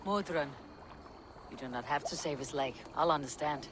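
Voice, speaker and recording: An actor playing a steady, wry young Viking warrior in a video game, with a measured, slightly formal manner. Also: male voice, fairly high pitch, noisy background, lightly acted. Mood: earnest. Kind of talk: dramatic video game acting.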